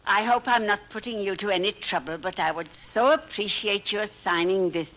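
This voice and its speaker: A woman's voice: medium pitch, moderate pace, a bit bright, natural voice, poor audio. A woman playing a polite, genteel, slightly hesitant little old lady fan in 1950s radio comedy. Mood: sweet, polite. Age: older.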